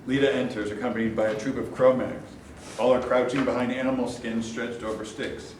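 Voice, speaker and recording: A man's voice: medium pitch, flat delivery, brisk, darkish tone. Male narrator in a very echoey room. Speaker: stage-directions reader. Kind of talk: scripted narration at a staged play reading. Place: echoey room at a staged reading. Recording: very echoey room, distant mic, clear noise.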